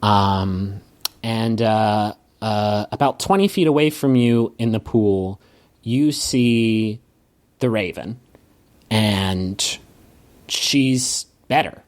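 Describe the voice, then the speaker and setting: A man narrating a tabletop game as game master, halting and dramatic, improvising as he goes with pauses. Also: fairly low pitch. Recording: music under the voice. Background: background music.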